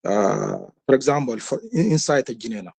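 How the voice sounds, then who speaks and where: A man with a Sudanese accent, a health worker speaking on a webinar, giving a halting, repetitive report that leads into questions. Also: mid-range voice, animated, creaky, a little mellow, breathy edge. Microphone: webinar call.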